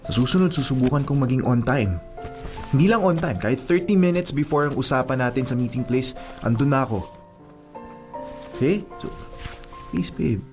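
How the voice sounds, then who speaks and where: A man's voice: medium pitch, lively intonation, moderate pace, pauses often, darkish tone, close to an everyday voice. A man playing a young boyfriend in a radio drama, pleading and stubborn, with music behind him. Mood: coaxing, apologetic. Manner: coaxing, argumentative. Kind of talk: radio drama acting in Tagalog.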